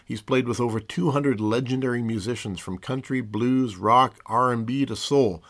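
A man's voice: mid-range voice, normal speed, a bit bright. A man hosting a music interview show, introducing his guest and asking questions with some hesitant restarts. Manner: friendly, hesitant, restarts phrases. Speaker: podcast host.

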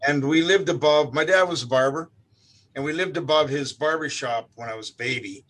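A man's voice: a little high, fairly quick. Older Canadian man, rambling storyteller. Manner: rambling, repeats words, animated when quoting. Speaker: guest musician. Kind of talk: relaxed storytelling.

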